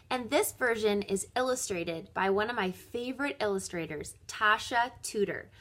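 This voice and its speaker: A woman reading a storybook aloud to children as a teacher would, with dramatic, theatrical expression and drawn-out phrasing. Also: mid-range voice, a bit bright.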